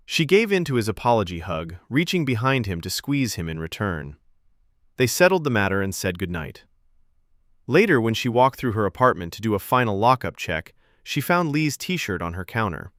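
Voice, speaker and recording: A man reading scripted fiction as a podcast narrator, with expressive storytelling delivery. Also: on the low side, no echo, studio quality.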